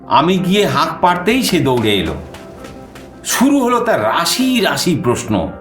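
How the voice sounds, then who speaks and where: A male storyteller narrates in the first person with an expressive, very varied pitch. His voice is mid-range and echoes a little, with faint music behind it. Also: normal speed.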